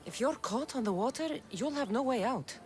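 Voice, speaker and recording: An actress playing a bold young mercenary leader in a video game cutscene. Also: female voice, on the high side, expressive, moderate pace, a little hiss or hum, close to an everyday voice. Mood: resolute. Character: bold young adult Greek mercenary woman, seafaring leader.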